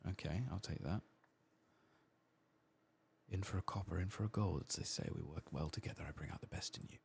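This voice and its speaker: A man speaking softly and often whispering in a hushed, murmuring way as he hosts an ASMR game playthrough. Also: deep voice, brisk, creaky, slight background noise. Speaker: host of a gameplay ASMR series. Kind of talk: soft-spoken and whispered ASMR game playthrough, reading quest text aloud.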